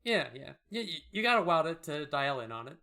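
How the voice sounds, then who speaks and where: A man co-hosting with loose, agreeable, halting casual commentary on a comic. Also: a little high, sing-song, creaky, bright, forceful tone. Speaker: co-host.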